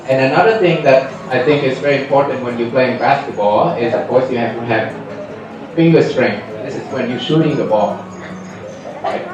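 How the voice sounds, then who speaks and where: Man, guest speaker, chatty and easygoing, mid pitch, far off the mic in a reverberant room. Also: normal speed, highs cut off, noisy background. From Vietnam, raised in America. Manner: conversational, often tags 'right?' to check with listeners. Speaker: guest speaker at a school English club. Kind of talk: informal talk to students. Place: school hall. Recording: echoey room, voice from afar, music behind.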